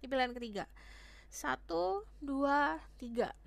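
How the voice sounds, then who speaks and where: Hoarse-voiced woman reading tarot. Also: high voice, a little slow. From Indonesia. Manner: chatty, switches between Indonesian and English phrases. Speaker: tarot reader. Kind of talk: casual tarot reading to viewers.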